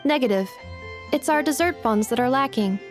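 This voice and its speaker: High-voiced, deadpan robot assistant. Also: female voice, normal speed. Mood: deadpan reporting. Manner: formal, precise, deadpan. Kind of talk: anime game voice acting. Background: game music.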